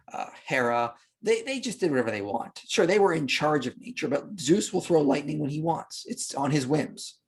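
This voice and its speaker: A man's voice: medium pitch, breathy edge. A man speaking as a podcast guest, explaining history and religion in a conversational way and repeating phrases for emphasis.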